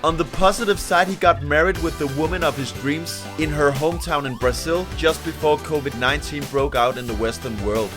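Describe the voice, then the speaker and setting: A man from Denmark, reading steady, informative voice-over narration for a travel vlog. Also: fairly high pitch, fairly quick, few pauses. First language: Danish. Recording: voice-over with music bed.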